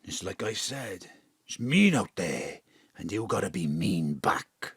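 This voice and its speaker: A man's voice: low voice, big pitch swings, measured pace, creaky, slightly rough, dry recording, clean studio sound, heavily acted. A man acting in an audio drama as a roguish, selfish thief of a father, with a Welsh accent and a colloquial, blustering, streetwise way of talking. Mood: fond, wily.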